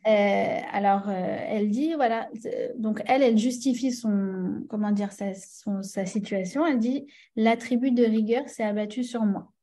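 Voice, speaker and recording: A woman's voice: medium pitch, normal speed, hardly any pauses, dark tone. A woman with a French accent teaching a religious class, chatty and full of fillers and restarts, often checking in with her listeners. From France.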